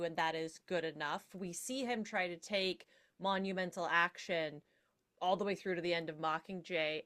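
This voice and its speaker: A woman gives casual analysis as a guest on a podcast panel. Her voice sits a little lower than most women's. She phrases things articulately, with a wry edge. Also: fairly bright tone.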